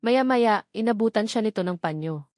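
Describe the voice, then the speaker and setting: A woman with a Filipino accent reads a scripted romance story as a storyteller, with sentimental, dramatic phrasing and pauses. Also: medium pitch, expressive, darkish tone, no echo, clean studio sound.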